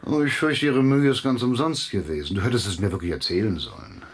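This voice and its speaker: An actor playing a smug, sardonic schemer in a naturalistic German radio drama, with a taunting manner. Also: male voice, on the low side, animated, quick pace, breathy edge. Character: Nico, smug, sardonic, scheming man in a wheelchair.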